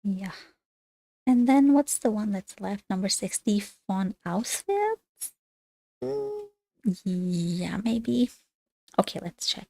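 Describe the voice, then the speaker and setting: A woman studying German on a livestream, reading workbook exercises aloud and thinking out loud in as she checks her answers. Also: medium pitch, sing-song, takes it slowly, gravelly, pauses often, no room sound. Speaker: language learner studying on a livestream.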